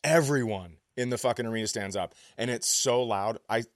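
A man telling casual, animated stories as a comedian on a podcast, often profane and easily amused. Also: medium pitch, brisk, no room sound, clean studio sound. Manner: acts out other people's quotes.

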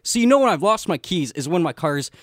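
A man's voice: fairly high pitch, very animated, a little fast, dry recording, clean studio sound. A man chatting casually and telling an everyday anecdote.

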